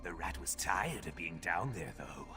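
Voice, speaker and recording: An actor playing a mad sewer-dwelling beggar who rambles erratically in a video game. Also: male voice, sits low, lively intonation, unhurried, pushed and bright, full character voice. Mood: wistful rambling. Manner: rambling, erratic, talks of himself in third person. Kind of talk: video game character acting. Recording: background music behind the voice.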